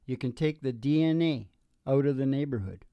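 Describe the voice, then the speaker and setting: A man's voice: medium pitch, moderate pace, smooth, highs cut off. A man in his sixties, a country singer hosting his show, chatting casually into a stand microphone on a small stage in a folksy, joking way. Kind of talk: casual stage banter between songs. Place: small curtained stage indoors.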